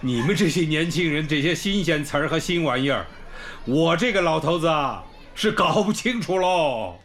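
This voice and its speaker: A man's voice: on the high side, animated, normal speed, a bit bright, slight background noise. An actor playing a jovial old village school principal, a man behind the times, in a radio drama. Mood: good-natured grumbling. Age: older.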